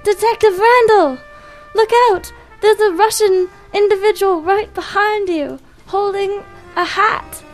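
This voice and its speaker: A woman's voice: very high-pitched, steady tone, unhurried, faint music behind, voice pushed up. A woman playing a melodramatic female client in an improvised noir radio drama, theatrical and hesitant. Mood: alarmed.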